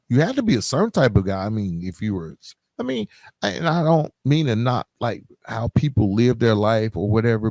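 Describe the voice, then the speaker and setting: An American man delivers a conversational podcast monologue straight to his listeners. His pitch moves freely around a middle register, and he leans on streetwise phrasing, repetition and rhetorical questions. The sound is dry, with very little room in it. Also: darkish tone, dry recording. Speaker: podcast host.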